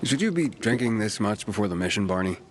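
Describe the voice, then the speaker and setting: A man voicing a wary male wanderer in a video game, speaking calmly and drily. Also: lowish voice, fast, a little hiss or hum, natural voice. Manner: calm, dry, laconic.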